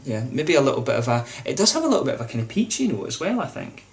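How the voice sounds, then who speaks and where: A man talks quickly and casually to camera while reviewing a beer at home. His voice sits at a middle pitch, and he fills the talk with fillers and restarts as he goes. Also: big pitch swings, creaky. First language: English. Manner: chatty, informal, many fillers and restarts.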